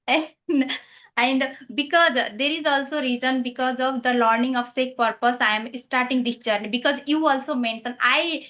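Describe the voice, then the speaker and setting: Woman, first language Nepali, fairly high voice, halting simple English, dull muffled line. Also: bright, forceful tone. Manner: hesitant, frequent "uh", simple broken grammar, shy laughs. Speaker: interview guest, homemaker and small YouTuber. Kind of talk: casual chat in learner English.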